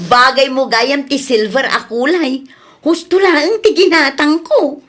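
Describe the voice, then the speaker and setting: A woman's voice: sits high, takes it slowly, a bit bright. A woman playing a cheerful, generous and reassuring younger woman in a comedy radio drama, with an Ilocano accent. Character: cheerful, generous, reassuring female friend and daughter.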